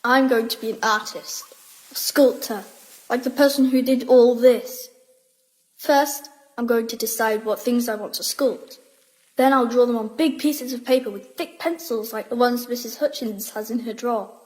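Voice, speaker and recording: A woman's voice: fairly high pitch, fairly even intonation, moderate pace, darkish tone, close to an everyday voice, low-quality recording. An actress playing a plucky, determined schoolgirl in an audio drama, speaking in a matter-of-fact, decisive way. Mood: dreamy, determined. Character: plucky, determined schoolgirl who dreams of sculpting.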